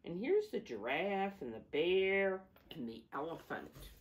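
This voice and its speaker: A woman reading a storybook aloud to young children as a teacher, deliberate and instructive, stressing key words and adding simple asides. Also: on the low side, big pitch swings. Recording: voice distant from the microphone.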